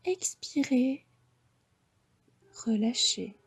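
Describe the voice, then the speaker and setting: A woman with a French accent guiding a yoga nidra relaxation, giving slow, soft, half-whispered breathing cues. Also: a little high, husky. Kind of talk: guided relaxation instruction.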